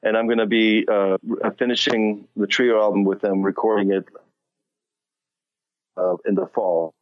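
A man with a slight non-native accent chats as an interview guest, often circling back and restarting his sentences. His voice sits fairly low. The sound is dull and lo-fi. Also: little pitch movement, moderate pace. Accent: light non-native accent. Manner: rambling, many restarts and fillers. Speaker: musician guest. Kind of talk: casual interview chat.

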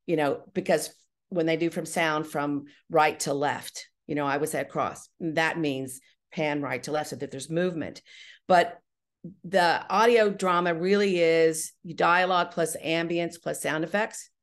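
A woman's voice: low-pitched, a little slow. A woman giving conversational interview answers as a guest writer-director, explaining step by step and often checking in with the listener. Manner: explanatory, often checking with "right?" and "you know".

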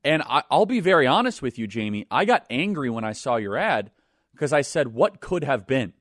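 A man hosting a talk show, giving forceful, indignant commentary and introducing his guest. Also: mid-range voice, sing-song, moderate pace, no echo, studio quality.

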